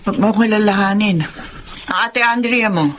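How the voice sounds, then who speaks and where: A woman's voice: medium pitch, moderate pace, slight background noise, close to an everyday voice. A woman playing a hard-working, fiercely protective middle-aged mother in a Tagalog radio drama. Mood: weary, loving.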